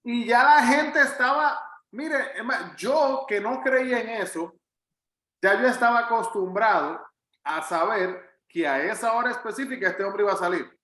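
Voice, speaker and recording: Puerto rican guy pastor doing bible study, bright forceful voice, repeats himself to make points, echoey room. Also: high-pitched, lively intonation, unhurried. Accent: Puerto Rican Spanish. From Puerto Rico. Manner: earnest, emphatic, repeats phrases. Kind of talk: conversational Bible teaching.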